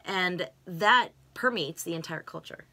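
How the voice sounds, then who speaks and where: A woman's voice: lowish voice, a little slow, pushed and bright. A middle-aged American woman in casual conversation, speaking expressively with emphatic repetitions, recorded on a desk microphone in a small home room. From United States. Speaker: interviewee, co-host. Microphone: desk microphone on stand.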